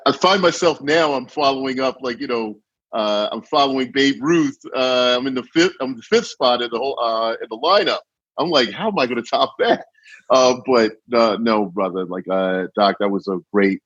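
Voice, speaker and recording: Enthusiastic low-voiced man, lo-fi sound. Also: no echo. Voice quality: loud voice. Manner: enthusiastic, many restarts. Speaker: podcast panel guest. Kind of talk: panel discussion.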